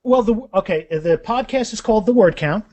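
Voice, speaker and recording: A man's voice: high voice, expressive, brisk, warm tone, no room sound. A man chatting casually as a guest author, emphatic and often repeating words as he explains. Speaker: guest author discussing his books. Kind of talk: casual animated interview chat.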